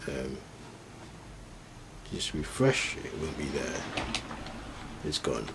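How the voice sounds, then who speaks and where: A man giving an informal, unscripted step-by-step device demonstration, haltingly thinking aloud as he works through it. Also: extremely low pitch, vocal fry, very noisy. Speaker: product demonstrator.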